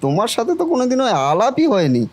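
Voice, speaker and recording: A man voicing a trusting, devoted young brother and gullible nephew in a cartoon family drama, earnest and expressive. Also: very high-pitched, fast talker. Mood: cheerful, welcoming. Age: young adult.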